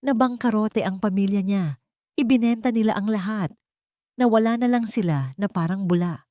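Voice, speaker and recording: A woman's voice: mid-range voice, normal speed, mellow, no echo, pitched above the performer's own voice, close to an everyday voice. A woman voicing a grave, regretful old father recounting the past in an audio story.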